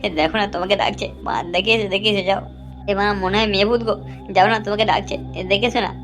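A woman's voice: mid-range voice, steady tone, rapid-fire, fairly bright tone, soft background music, natural voice. A woman voicing a cowardly young man in a comic cartoon, a stammering, nervous, wheedling sidekick full of excuses. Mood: uneasy.

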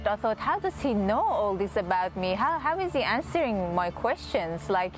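A woman in her thirties, born in Iraq and raised in Iran, now living in Australia, giving a soft, reflective testimony outdoors in a garden. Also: mid-range voice, sing-song, normal speed. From born in Iraq, raised in Iran, lives in Australia. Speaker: testimony guest. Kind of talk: personal testimony interview. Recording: music bed under voice.

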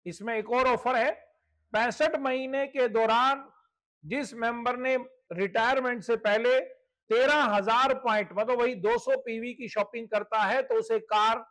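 A man's voice: very high voice, steady tone, a little slow, fairly bright tone, rough recording. A man with an Indian accent giving a promotional slide presentation, explaining step by step and dropping in English terms. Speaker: presenter of a membership scheme.

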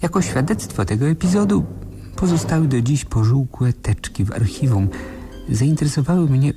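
A man acting as the wry, measured narrator of a radio mystery, telling the tale in a deliberate, dramatic way. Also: medium pitch, lively intonation, over music, lightly acted. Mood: wry, atmospheric. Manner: deliberate, storytelling.

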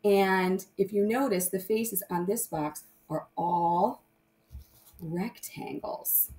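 A woman's voice: medium pitch, takes it slowly, dark tone. A woman teacher explaining things informally to young children, often checking in with short tag questions. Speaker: primary math teacher.

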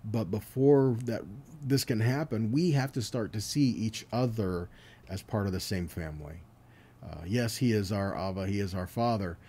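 A man's voice: lowish voice, normal speed, dark tone, slightly rough. A man giving a Bible teaching, reading verses and explaining them earnestly, often checking in with his listeners. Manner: earnest, explanatory, with check-in tags like "okay?" and "right?".

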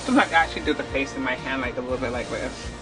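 A middle-aged woman from Barbados, a theatre makeup artist who explains her work step by step in a lively way and laughs often. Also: very deep voice, very fast, over music. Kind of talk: demonstrating work while talking to the camera. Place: small backstage dressing room, indoors.

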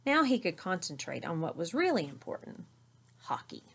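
A middle-aged woman reading a children's story aloud in an expressive narrating style. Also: lowish voice, normal speed, vocal fry, slightly rough. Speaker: storybook reader. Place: small indoor room with curtain backdrop.

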